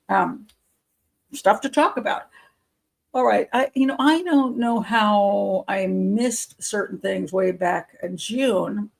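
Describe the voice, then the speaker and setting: A woman who works as a criminal profiler hosts a casual live members' show, rambling and chatty, losing her voice and clearing her throat. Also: medium pitch. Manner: chatty and rambling, with frequent fillers and soft laughs. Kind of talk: casual live-stream chat.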